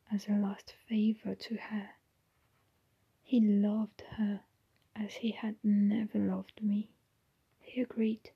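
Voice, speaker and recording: A drugged young man recites his past in a flat, expressionless voice, whispered by a woman reading aloud. The delivery is slow and monotone. The whisper is husky. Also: medium pitch, muffled recording, full character voice.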